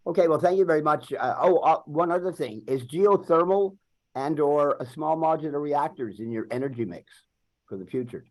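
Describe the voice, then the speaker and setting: A man in the audience asking a speaker polite, hesitant questions, with many pauses and "uh"s. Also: a little high, rough recording. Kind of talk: question and answer.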